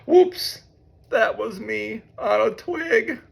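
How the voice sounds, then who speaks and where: A man voicing a small, clumsy, anxious male mole in a children's storybook, squeaky and flustered. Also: animated, extremely slow, vocal fry, exaggerated voice. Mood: sheepish. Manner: whispering, gasping, flustered. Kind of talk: children's storybook read-aloud.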